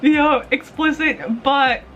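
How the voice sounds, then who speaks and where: A woman's voice: high-pitched, takes it slowly, fairly bright tone, muffled recording, a little hiss or hum. A woman talking casually and enthusiastically like a vlogger reviewing for her viewers, in a giggly, slangy, gushing fan manner. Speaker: YouTube host giving manga recommendations. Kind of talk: casual, chatty review to camera.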